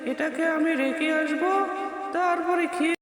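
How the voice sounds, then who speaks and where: Man playing a shrill, echoing man-eating cartoon witch. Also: steady tone, normal speed, a bit bright. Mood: gloating, hungry. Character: boastful, bloodthirsty man-eating female witch of the wilds. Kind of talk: cartoon villain acting. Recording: very echoey hall, distant, music behind. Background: music.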